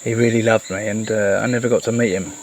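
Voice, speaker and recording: A person giving a casual, reflective monologue, speaking earnestly and haltingly with repeated words. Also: mid-range voice, little pitch movement, darkish tone. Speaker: host of a solo talk. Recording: noisy, with chirping insects behind.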